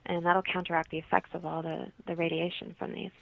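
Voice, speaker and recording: A woman's voice comes through a thin, phone-like line. It sits slightly low and has a noticeable fry. She speaks as a holistic healer, explaining her answers to an interviewer. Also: flat delivery, fast, no echo. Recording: muffled, narrow phone-like line.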